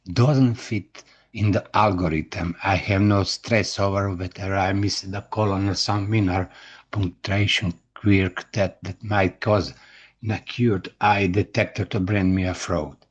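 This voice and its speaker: Middle-aged Croatian-accented man, native Croatian speaker, low husky voice, halting broken English with constant restarts, nervous to-camera talk. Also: fairly even intonation, highs cut off. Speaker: indie author and book vlogger.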